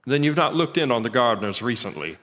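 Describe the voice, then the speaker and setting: A man plays a fussy male professor in a radio drama, his voice set in long reverb. He is formal and pedantic, picking at his words with pompous hesitation. His pitch sits in an ordinary middle range. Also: animated, a little fast, fairly bright tone, lightly acted. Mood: uneasy, tactful. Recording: reverberant, echoey hall sound.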